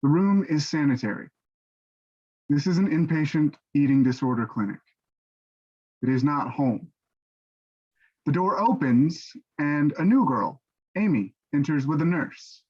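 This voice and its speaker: A man reading scripted stage directions as narrator, in a measured, matter-of-fact way. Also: a little high, animated, a little mellow, breathy edge. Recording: dull, lo-fi audio.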